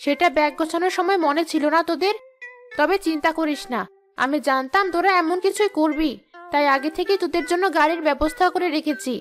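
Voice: very high-pitched, fairly quick, fairly bright tone, faint music behind. A performer voicing a bossy female ghost teacher in a children's cartoon, scolding and sarcastic. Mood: brisk.

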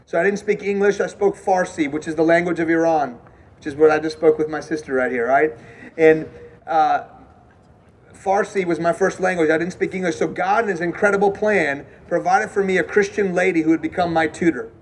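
Animated high-voiced preacher on a dark stage. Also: male voice, brisk. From Houston, Texas. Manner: animated, conversational, asks the crowd questions. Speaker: campus preacher. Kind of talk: evangelistic sermon with testimony. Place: dark stage in a large hall.

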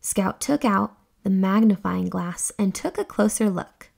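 A young woman hosting a children's read-aloud, reading a storybook in an expressive, deliberate, exclamatory way. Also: medium pitch, creaky, breathy edge.

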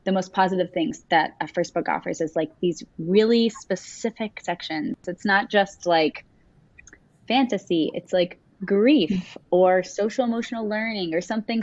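A woman's voice: on the low side, moderate pace, a little mellow, no echo. A young woman elementary teacher talking casually with lots of fillers on a video call from home. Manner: casual, frequent "like" fillers, gestures while talking. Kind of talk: casual panel answer. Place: home room with windows. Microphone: webcam on video call. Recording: dull dry webcam audio.

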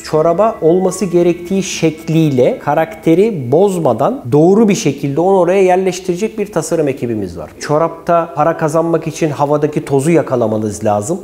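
Higher-voiced mellow man explaining his business. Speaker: company chairman, industry association head. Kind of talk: documentary interview talk about own business. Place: small office and showroom, indoors. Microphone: lapel mic. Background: background music.

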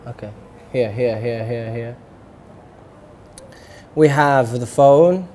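A young male host trading easygoing banter in a studio, laughing often. His voice sits at an ordinary pitch with a faint hiss under it. He is recorded close on a lapel mic. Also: takes it slowly, mellow. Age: young adult. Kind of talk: playful studio chat game. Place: studio set with lounge chairs.

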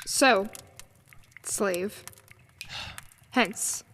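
A woman's voice: mid-range voice, extremely slow, fairly bright tone. A woman playing a stern, threatening sorcerer parent, imperious and scornful, in a Shakespearean radio play. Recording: reverberant hall sound, faint noise.

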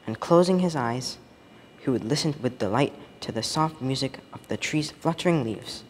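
A teenage male reads a scripted story with measured, expressive phrasing. His voice rings in a reverberant room, with faint noise behind it. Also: mid-range voice. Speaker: student story reader. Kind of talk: scripted storytelling read aloud.

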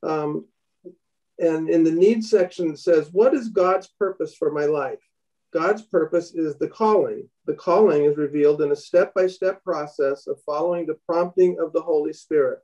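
A man's voice: fairly high pitch, a little mellow, distant mic, lo-fi sound. Earnest man teaching over a video call. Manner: earnest, halting restarts, reads aloud from a book. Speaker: bible study teacher. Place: home room indoors. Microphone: laptop webcam on a video call.

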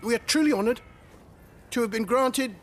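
An actor playing a humble, eager merchant, fawning and excitable, in a video game cutscene. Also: male voice, extremely high pitch, normal speed. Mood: ingratiating. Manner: obsequious, eager.

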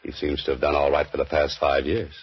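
A man's voice: extremely low pitch, level tone, brisk, breathy edge. An actor playing a dry, wisecracking male mystery writer in a 1940s radio drama. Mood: dry, wary. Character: wry male mystery writer turned adventurer. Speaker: lead actor. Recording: dull old radio broadcast with music.

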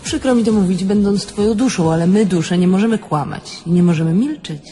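An actress playing the mocking, blunt and crude-tongued soul of a dead young thief in a theatrical tragifarce. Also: female voice, mid-range voice, brisk, dark tone, faint music behind, close to an everyday voice. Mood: scolding.

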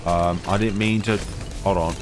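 A man of thirty with a deep voice and a cold, giving calm, rambling commentary over a game as its host. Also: normal speed, background noise. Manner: calm, rambling, chatty tangents. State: has a cold. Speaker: gaming channel host. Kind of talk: relaxed gameplay commentary. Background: game music.

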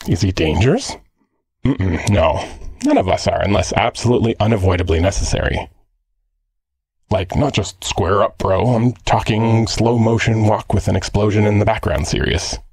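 Man playing a cheeky, gravelly android boyfriend. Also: fairly low pitch, a little mellow, no room sound, clean studio sound. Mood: wry, explaining. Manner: cheeky, slangy, sardonic. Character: teasing, jealous, chill-delinquent male android boyfriend. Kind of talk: ASMR boyfriend roleplay.

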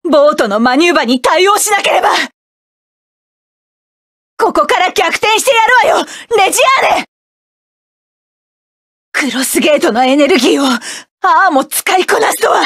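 A proud young woman pilot barks sharp, defiant commands in battle in a game. Her voice sits very high, and the studio recording is close and completely dry, with no room sound. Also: lively intonation, moderate pace, long pauses, dry recording. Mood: fierce, defiant. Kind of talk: game character voice lines.

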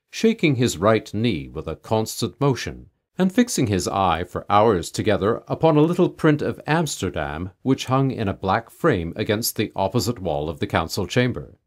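A man reading a literary tale aloud as a narrator, in a measured, formal storytelling manner. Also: on the low side. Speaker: audiobook narrator.

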